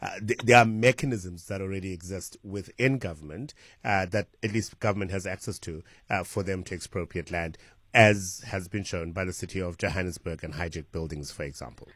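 A man hosting a call-in radio show, explaining points in a measured, hesitant way with frequent fillers. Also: deep voice, fairly bright tone, breathy edge, dry recording, clean studio sound. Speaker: radio talk show host. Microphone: studio microphone.

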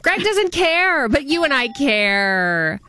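Woman, morning radio host, chatty, bubbly, bright ringing voice. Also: sits high, big pitch swings, slow, dry recording. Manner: chatty, bubbly, self-deprecating. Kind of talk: casual radio banter. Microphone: studio microphone.

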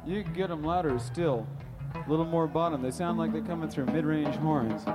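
A man's voice: on the high side, normal speed. Joking man on a concert stage. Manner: offhand, joking stage talk. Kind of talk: onstage banter between songs. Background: loud band music.

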